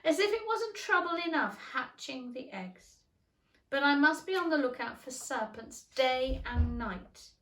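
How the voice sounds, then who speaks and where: A woman voicing a flustered, indignant pigeon in a storybook, shrill, scolding and suspicious, complaining in exclamations. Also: animated, a little slow, pushed and bright, heavily acted. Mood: sobbing complaint. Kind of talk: storybook character reading. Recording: roomy, echoing room.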